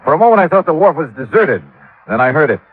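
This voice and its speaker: An actor playing a courteous hard-boiled insurance investigator, speaking in a measured, polite way in an old radio detective drama. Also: male voice, fairly high pitch, sing-song, slight background noise. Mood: narrating, suspenseful. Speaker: lead investigator and narrator. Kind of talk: 1950s radio detective acting. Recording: tinny vintage radio, band-limited.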